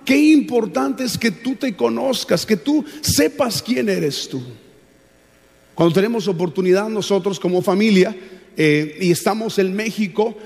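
A man's voice: high-pitched, unhurried, vocal fry, darkish tone. A middle-aged man with a Mexican accent preaching a sermon, teaching emphatically with repetition and rhetorical questions. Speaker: pastor preaching. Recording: reverberant large hall.

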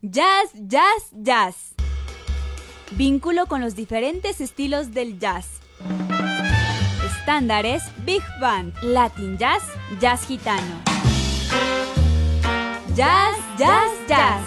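A woman announcing a radio show intro in a drawn-out, sing-song manner. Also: fairly high pitch, sing-song, over loud music. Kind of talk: scripted radio promo.

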